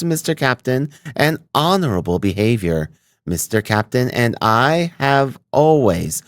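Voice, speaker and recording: A man's voice: medium pitch, no room sound, studio quality, voice pushed up. A man voicing a fawning, indignant landlady with a German accent who patters on. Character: fawning middle-aged German landlady, chattering.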